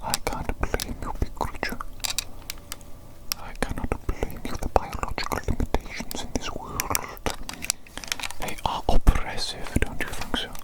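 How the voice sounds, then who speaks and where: A woman voicing a fussy, gleeful evil doctor in a whispered ASMR roleplay, softly repeating her phrases. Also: measured pace, natural voice, low-quality recording. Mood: musing.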